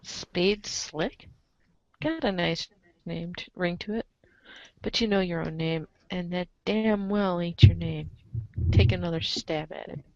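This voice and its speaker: A woman's voice: fairly low pitch, a little slow, gravelly, darkish tone, no echo, muffled recording. A woman reading scripted narration aloud in a theatrical, wry way, with casual asides.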